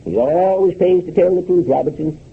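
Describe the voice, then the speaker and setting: An actor playing a folksy, obtuse old shopkeeper with a hesitant rural American way of talking, in 1930s radio comedy style. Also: male voice, high-pitched, fairly quick, gravelly, slightly rough, full character voice. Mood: obtuse, argumentative. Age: older. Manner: hesitant, drawling, countrified grammar. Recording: muffled 1930s radio recording.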